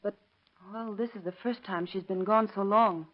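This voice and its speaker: A woman's voice: medium pitch, steady tone, natural voice. Actress as an anxious hesitant woman, muffled old radio. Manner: halting, apologetic, polite. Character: anxious, hesitant woman seeking her missing sister. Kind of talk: 1930s radio acting. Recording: dull vintage radio drama recording.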